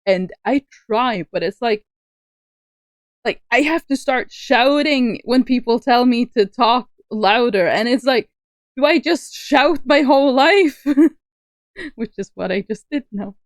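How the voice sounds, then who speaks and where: A woman streamer chatting on a call, soft-spoken and laughing often. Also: fairly high pitch, takes it slowly, dry recording, studio quality. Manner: giggly, laughs often, speaks softly. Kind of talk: casual livestream chat on a call.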